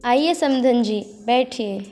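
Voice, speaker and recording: A woman's voice: fairly high pitch, takes it slowly, warm tone, faint music behind. A woman voicing an exasperated middle-aged mother of married daughters in a cartoon story, reproachful and admonishing. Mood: polite.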